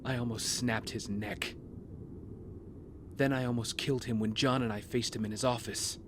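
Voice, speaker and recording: A man acting a brooding, guilt-ridden young vigilante hero in an audio drama, speaking in an earnest, measured, confessional way. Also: mid-range voice, fairly even intonation, background noise, lightly acted. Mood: troubled, confessional. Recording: music bed under the voice.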